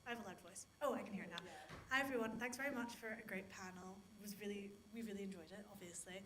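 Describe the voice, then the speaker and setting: A woman's voice: medium pitch, fast talker, a crowd in the background. A woman in the audience asking a question, chatty and apologetic.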